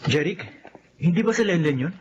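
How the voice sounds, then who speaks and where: A man's voice: sits high, expressive, fairly quick, loud music behind the voice. A man with a Filipino accent playing an encouraging young cousin, urging and supportive, in a radio drama. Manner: urgent, encouraging. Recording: muffled audio with clear background noise.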